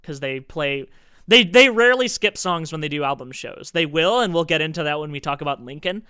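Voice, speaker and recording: A nasal man with a bright, crisp, fairly high voice talks through albums with a friend on a podcast. He is animated and laughs easily, and his voice sounds dry and close to the mic. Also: brisk, no room sound. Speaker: podcast co-host. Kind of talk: casual music discussion.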